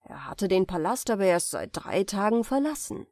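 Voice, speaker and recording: A woman reading a fairy tale as an audiobook narrator, in expressive, carefully enunciated storytelling. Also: medium pitch, moderate pace, dry recording, studio quality.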